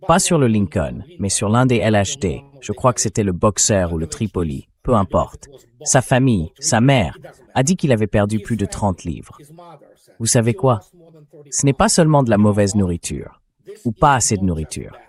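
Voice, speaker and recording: A man's voice: fairly low pitch, a little mellow. A man reading a translated interview as a guest commentator, speaking bluntly and emphatically about military affairs.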